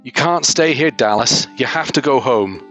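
Man playing an exasperated, weary boss, animated pitch. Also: normal speed, faint music behind, close to an everyday voice. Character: exasperated, weary male authority figure in an office. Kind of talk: dramatised fan fiction reading.

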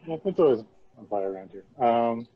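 A man's voice: mid-range voice, expressive, brisk, no echo. A man from New Jersey talking on a video call in a laid-back, meandering way. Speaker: illustrator and designer. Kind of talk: casual chat. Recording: dull lo-fi call audio.

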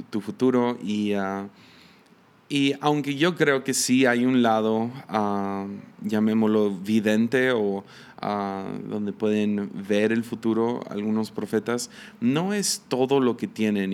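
Mexican-accented male missionary in his 30s, slightly low voice, relaxed hesitant teaching monologue. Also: clear, resonant tone. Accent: Mexican Spanish. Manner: hesitant, many fillers and restarts. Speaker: missionary podcast host.

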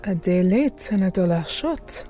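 A woman acting as an icy, proud underworld queen in an audio drama. The voice is dark and mellow, with formal, cutting delivery over faint music. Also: mid-range voice, expressive, measured pace, natural voice. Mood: cutting, defiant. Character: proud, icy, guarded Harlem numbers queen.

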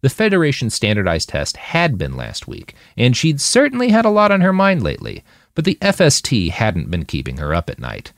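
A man's voice: medium pitch, fairly quick, no room sound, studio quality. A man reading a novel aloud as scripted audiobook narration, expressively acting out the dialogue. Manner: expressive, acts out quoted dialogue. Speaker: author reading his fiction aloud.

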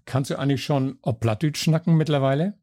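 An older man from northern Germany hosting an interview podcast, with a measured, friendly way of asking questions. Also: fairly high pitch, fairly even intonation, mellow, no echo, studio quality. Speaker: podcast host. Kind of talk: scripted intro then interview.

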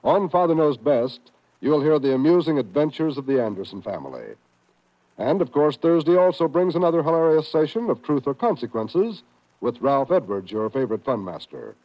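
A man's voice: medium pitch, big pitch swings, moderate pace. An American man delivering polished, emphatic scripted announcements in 1950s network radio style. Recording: dull old radio recording.

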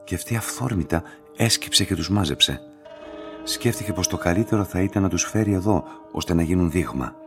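An actor playing a composed, courteous and enigmatic laboratory director in a radio play, speaking in a measured, formal way. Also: male voice, deep voice, expressive, over music. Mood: grave, measured. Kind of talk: scripted radio drama dialogue.

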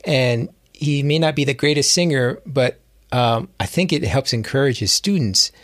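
A man's voice: medium pitch, lively intonation, moderate pace, no echo. Reflective man chatting in a close, dry recording. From Hawaii, United States. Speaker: guest musician and music instructor. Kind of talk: casual interview storytelling.

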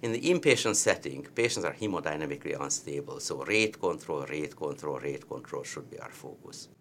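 A man's voice: very deep voice, expressive, brisk, fairly bright tone, a little hiss or hum. A man with a heavy Hungarian accent, a cardiologist explaining treatment with emphatic repetition of key points. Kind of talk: medical teaching discussion.

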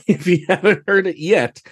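A man's voice: high voice, unhurried, slightly rough. An American man chatting casually as a podcast guest, telling stories with exclamations and quoting himself. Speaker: podcast guest, theatre director. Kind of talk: casual chat.

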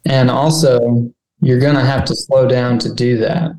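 A man's voice: mid-range voice, little pitch movement, a little slow, darkish tone. A man chatting casually on a podcast in an easygoing, conversational way. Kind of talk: casual chat. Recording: roomy sound.

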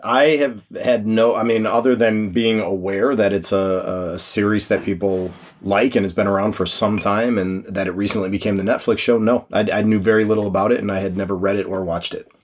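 A man co-hosting a casual book podcast, speaking in a hesitant, measured way with dry humor. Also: fairly low pitch, steady tone, a little hiss or hum. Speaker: podcast co-host. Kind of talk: casual book club chat.

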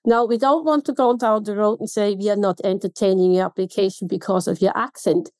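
Swiss woman, Swiss German accent, consultant guest; emphatic and pointed, mid-pitched, dry close mic. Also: steady tone, fairly quick, darkish tone, dry recording. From Switzerland. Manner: emphatic, pointed rhetorical questions. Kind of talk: podcast interview.

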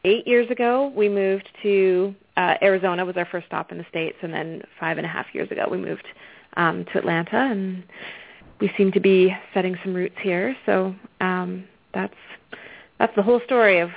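A woman's voice: on the low side, moderate pace, creaky. A woman from western Canada with a Canadian accent, a guest author talking casually in an interview. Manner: relaxed, conversational with small restarts. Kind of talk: interview on a call-in show. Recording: tinny phone line.

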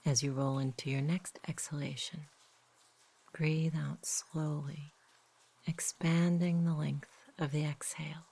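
A woman guiding a sleep meditation in a soft, whispery, husky voice, gentle and unhurried. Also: deep voice, flat delivery. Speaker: meditation guide. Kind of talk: guided sleep meditation, slow soothing narration.

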